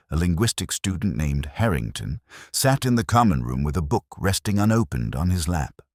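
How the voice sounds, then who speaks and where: A man voicing a brooding older police detective who narrates his case in a measured, literary, reflective way. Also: very deep voice, dry recording, clean studio sound, heavily acted. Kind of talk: scripted first-person mystery narration.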